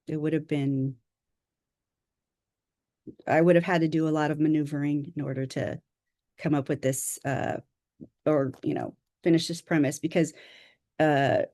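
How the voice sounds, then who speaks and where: A woman from Southern California, an author introducing herself on a video call, speaking modestly and haltingly with fillers. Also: low voice, darkish tone, dry recording, studio quality. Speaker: author presenting a workshop.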